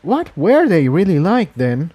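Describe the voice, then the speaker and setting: A man's voice: a little high, very animated, unhurried, highs cut off, pitched above the performer's own voice. A man voicing an anxious, self-doubting female pony in a storybook reading, speaking earnestly and fretfully. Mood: curious.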